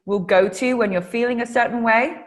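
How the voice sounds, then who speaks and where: A British woman hosting a podcast interview, upbeat and enthusiastic, quick to agree with her guest. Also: fairly high pitch. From Britain. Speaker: podcast host. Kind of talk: casual interview chat.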